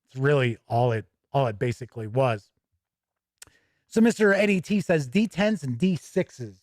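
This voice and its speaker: A man's voice: a little high, no room sound, studio quality. A man hosting a gaming news show, moving between chatty asides and emphatic, presenter-style reading. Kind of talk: chatty news presenting, reading product write-ups and answering viewer comments.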